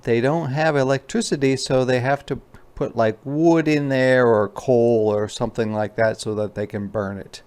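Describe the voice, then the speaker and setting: A man's voice: medium pitch, fairly even intonation, moderate pace, darkish tone, smooth. A man reading a children's storybook aloud as a teacher, with informal, explanatory asides in simple words.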